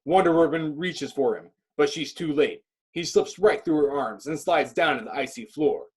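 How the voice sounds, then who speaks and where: Husky man narrating an action script. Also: fairly high pitch, moderate pace. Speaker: narrator reading stage directions.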